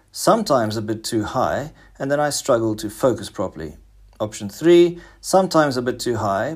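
A man's voice: fairly low pitch, darkish tone. A man hosting a podcast, moving between scripted narration and informal interviewing. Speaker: podcast host.

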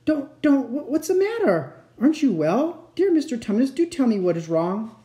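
A woman's voice: lowish voice, sing-song, moderate pace, mellow. A woman voicing an earnest, kind-hearted young girl who is polite and pleading, in a storybook reading. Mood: distressed, urging.

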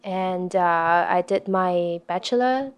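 A woman in her twenties from Hong Kong, a piano contestant, answering interview questions in a soft-spoken, halting way with many fillers. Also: on the low side, clear, resonant tone. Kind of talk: casual interview.

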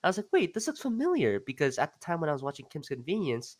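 A man's voice: on the high side, huge pitch swings, quick pace. A young man co-hosting a casual podcast interview, speaking in an earnest, deferential way. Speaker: podcast co-host.

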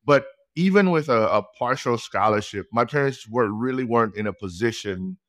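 A man in his forties from Trinidad and Tobago, a podcast guest telling his story in a measured, polite way. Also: medium pitch, expressive, a bit bright, no room sound, studio quality. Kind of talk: conversational storytelling.

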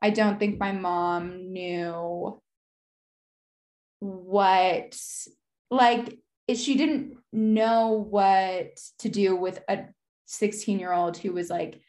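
A young American woman in her twenties talks openly as a podcast guest. Her delivery is loose and conversational, full of "like" and "you know", and she often pauses to gather her thoughts. Her pitch sits in a middle range for a woman. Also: steady tone, a little mellow. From United States. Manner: casual, frequent "like" fillers, reflective. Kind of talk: candid conversational interview.

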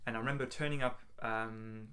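A man with a slight South African accent, hosting a casual interview and asking questions with quick stammered restarts. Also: on the low side, fairly even intonation, sharp tone. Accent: slightly South African English. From England. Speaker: podcast host.

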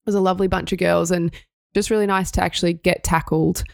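A woman's voice: on the low side, fairly even intonation, quick pace, creaky, no echo, clean studio sound. A young Australian woman co-hosting a sports news podcast, reading stories and chatting in an upbeat way. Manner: upbeat, reads news items then chats. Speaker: podcast co-host.

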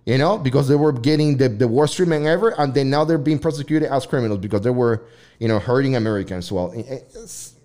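A man's voice: medium pitch, quick pace, mellow. Rambling, swearing man host. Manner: rambling, frequent "you know" and restarts; swears casually. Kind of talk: casual debate chat.

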